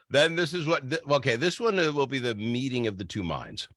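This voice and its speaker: A man's voice: medium pitch, lively intonation, normal speed, fairly bright tone, no room sound, studio quality. A man hosting a radio show with casual banter about songs, blunt and argumentative in his opinions.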